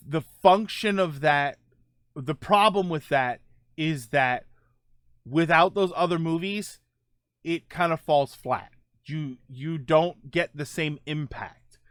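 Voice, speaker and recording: Bright-voiced man chatting on a livestream. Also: on the high side, no echo, studio quality. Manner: halting, repeats phrase openings. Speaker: livestream host. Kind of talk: casual chat with live viewers, gaming and film talk.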